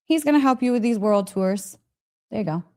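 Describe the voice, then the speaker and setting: A woman's voice: on the high side, animated, brisk, a little mellow. A woman hosting a casual motivational storytelling live stream, speaking in a gushing, emphatic, chatty way, repeating phrases and addressing listeners directly. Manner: chatty, direct address with frequent check-in questions. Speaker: host of a live story session, spiritual teacher.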